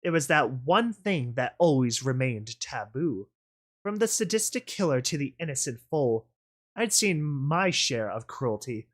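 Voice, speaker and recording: A man reading a first-person story aloud as a dramatic narrator, brooding and deliberate. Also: medium pitch, sing-song.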